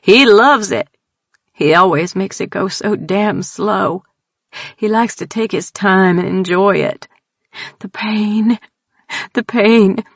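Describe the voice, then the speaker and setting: A woman doing scared young East Texas woman Tildy. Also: dry recording. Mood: bitter. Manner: high-strung, rapid, swearing. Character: frightened young Southern woman, crude and tearful. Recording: dull dry audio.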